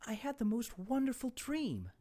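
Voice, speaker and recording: A man voicing a dreamy young princess in a children's storybook, speaking softly and tenderly. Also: very high-pitched, huge pitch swings, moderate pace, pitched above the performer's own voice, exaggerated voice. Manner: soft, tender. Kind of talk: children's storybook read-aloud.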